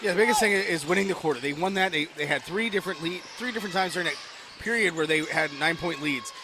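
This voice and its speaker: A man working as color commentator on a high school basketball radio broadcast from a gym, breaking down plays like a coach and sometimes restarting his phrases. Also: fast, noisy background. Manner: coaching-style analysis with small restarts. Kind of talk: game analysis.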